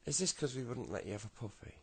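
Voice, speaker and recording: A man voicing a worried, worn-out single mother who speaks haltingly and repeats herself in a comic story. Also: mid-range voice, lively intonation, gravelly, husky, voice pushed up, heavily acted. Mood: anxious. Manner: halting, repetitive, pleading. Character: worried, weary working single mother of a schoolboy.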